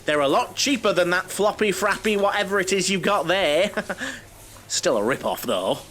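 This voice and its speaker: An actor playing a naive, lonely bachelor who rambles and overshares in an audio comedy. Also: male voice, sits high, animated, moderate pace, fairly bright tone. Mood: chatty, nosy. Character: chatty, naive, lonely middle-aged bachelor, mother-obsessed coach passenger. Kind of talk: audio drama comedy acting.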